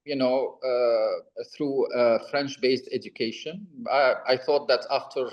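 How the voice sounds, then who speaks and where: A middle-aged Lebanese man, Arabic his first language, talking on a video call in a halting, wry way with many fillers and restarts. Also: mid-range voice, fairly even intonation, highs cut off. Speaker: presenter.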